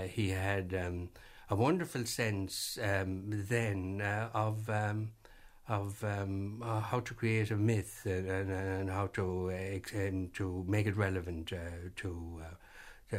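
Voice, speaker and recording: A man, speaking hesitantly as an interview guest in a vintage radio program. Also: lowish voice. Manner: halting, many fillers and restarts. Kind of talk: reflective interview talk.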